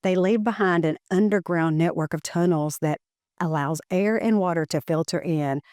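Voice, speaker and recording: A woman reads a children's educational picture book aloud in measured, deliberate phrasing. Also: fairly low pitch, a little mellow, no room sound, studio quality.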